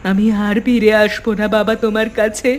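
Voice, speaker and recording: A woman's voice: mid-range voice, flat delivery, normal speed, faint music behind, natural voice. A woman voicing the sorrowful ghost of a young daughter in a cartoon, pleading plaintively. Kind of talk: dramatic cartoon voice acting.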